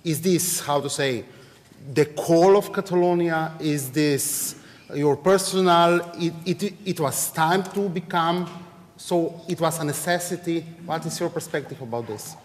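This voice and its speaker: A man moderating a public interview, putting questions deliberately, phrase by phrase, with non-native phrasing. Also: on the high side. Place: large echoey hall, public talk. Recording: reverberant hall sound.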